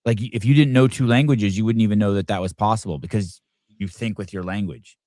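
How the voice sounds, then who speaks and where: A man's voice: lowish voice, fast talker, dark tone, no echo, clean studio sound. Curious man host, stammering questions. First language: Armenian. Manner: curious, stammers on repeated words. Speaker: podcast host. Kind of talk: casual interview conversation.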